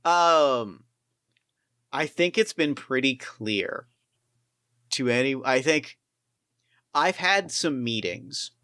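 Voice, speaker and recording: A man talks through his character and the scene as game master, circling back on his words as he thinks. His voice is smooth and resonant, at a middle pitch, and the sound is dry and close. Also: lively intonation, slow, long pauses, sharp tone, no echo. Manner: thoughtful, rambling with frequent restarts. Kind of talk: actual play character talk.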